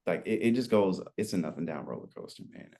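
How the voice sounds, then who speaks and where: A man in his twenties telling his story as a podcast guest, relaxed and rambling, with lots of fillers. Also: low voice, fast. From United States. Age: 20s. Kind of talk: casual interview chat.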